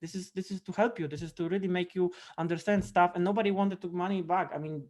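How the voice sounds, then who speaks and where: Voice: mid-range voice, steady tone, fast talker, a little mellow. A course instructor and host talking casually and conversationally.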